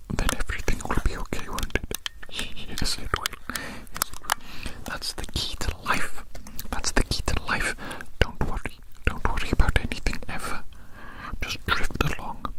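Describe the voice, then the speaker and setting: Someone performing a whispered ASMR medical roleplay as a doctor, breathy and unvoiced, rambling playfully and repeating phrases. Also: a little slow, low-quality recording.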